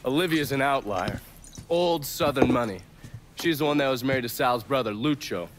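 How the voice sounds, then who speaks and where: Man acting a brash American agent, sardonic, medium pitch, profane, game drama, clear background noise. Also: lively intonation, moderate pace, lightly acted. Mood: briskly informative. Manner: wry, profane.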